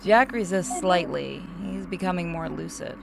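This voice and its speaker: Creaky-voiced woman narrating a game. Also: on the low side, moderate pace, over music. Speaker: narrator reading a visual novel game. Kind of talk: narrating a game script aloud with casual banter.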